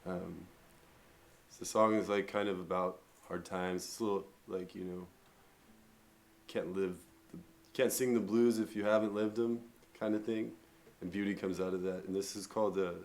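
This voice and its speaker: A man who is an artist and musician talking casually about his own work in a halting, loose, informal way. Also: low voice, fairly even intonation, darkish tone.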